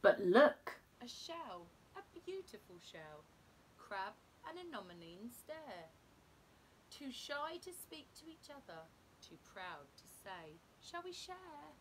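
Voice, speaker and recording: Animated, slow-paced woman reading a storybook. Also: rough recording. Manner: animated, dramatic, deliberate. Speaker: teacher reading a picture book to children. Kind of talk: expressive children's storybook read-aloud. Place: indoor room at home. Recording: clear low background rumble noise.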